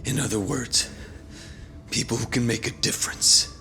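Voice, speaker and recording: An actor playing a desperate, self-deluded young crime heir turned ruthless politician in a video game cutscene, with a strained, grandiose, halting delivery. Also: male voice, medium pitch, gravelly, sharp tone. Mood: cold, contemptuous.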